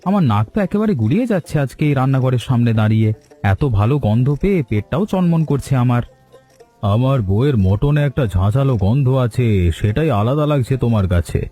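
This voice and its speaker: A man's voice: mid-range voice, lively intonation, a little fast, mellow, soft background music, close to an everyday voice. A man voicing a hungry, good-humored husband in a cartoon, chatty as he talks.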